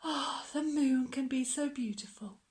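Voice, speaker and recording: Woman playing a high-voiced, sensitive storybook giraffe. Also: a little slow, darkish tone. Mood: wistful. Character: clumsy, self-doubting young male giraffe, sensitive and wistful.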